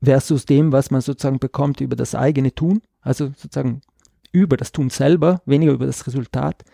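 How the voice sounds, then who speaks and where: A man's voice: mid-range voice, steady tone, fast talker, creaky, mellow, no echo, clean studio sound. A man from South Tyrol with a South Tyrolean German accent, an artist talking reflectively as a podcast guest, searching for words with many fillers. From South Tyrol, Italy. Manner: searching, full of fillers and restarts, thoughtful. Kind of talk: reflective conversational interview.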